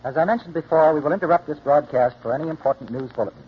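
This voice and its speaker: A man's voice: fairly high pitch, rapid-fire, breathy edge. A man hosting and narrating a 1940s radio drama in scripted announcer style. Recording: dull old radio recording, music behind.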